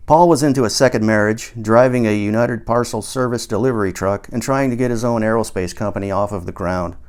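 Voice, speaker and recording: Low-voiced older man narrating an audiobook. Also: brisk, darkish tone, clear, resonant tone. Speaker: author reading own book. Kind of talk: first-person audiobook narration.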